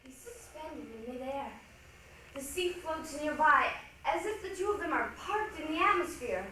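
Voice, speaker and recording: A woman giving a theatrical, deliberate dramatic narration as a readers' theatre performer. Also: high-pitched, expressive, sharp tone. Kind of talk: dramatic recited stage narration. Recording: very echoey hall, distant mic, faint noise.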